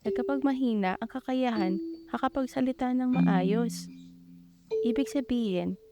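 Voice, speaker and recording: A woman voicing a shy, anxious young female student who speaks politely and haltingly with a stutter and a Filipino accent in an animated short film. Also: a little high, lo-fi sound. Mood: curious, thoughtful. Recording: music behind the voice.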